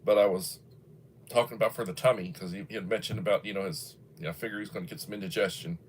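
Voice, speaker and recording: A man's voice: fairly low pitch, fairly even intonation, fast talker, slight background noise. A man in his early sixties, chatting casually on a livestream, rambling and folksy as he reads viewer comments aloud. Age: 60s. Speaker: livestream host.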